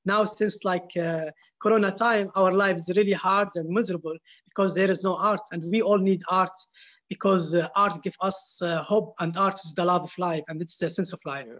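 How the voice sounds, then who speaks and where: A man, an artist and former journalist, speaking on a panel in halting English. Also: sits high, steady tone, fairly bright tone. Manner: searching, halting non-native phrasing. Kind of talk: panel talk.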